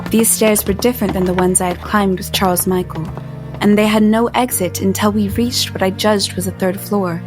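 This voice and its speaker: An actress playing an apprehensive but resolute heroine, narrating an audio drama in the first person in a measured way. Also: female voice, mid-range voice, fairly even intonation, lightly acted. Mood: measured, foreboding. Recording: music under the voice.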